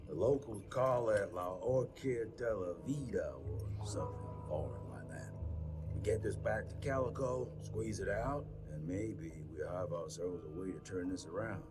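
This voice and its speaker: Actor as low-voiced weary American veteran hunter. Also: male voice, moderate pace, over music, natural voice. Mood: dry. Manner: world-weary, plain-spoken. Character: weary, gruff older male veteran monster hunter. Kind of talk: dramatic video game cutscene acting.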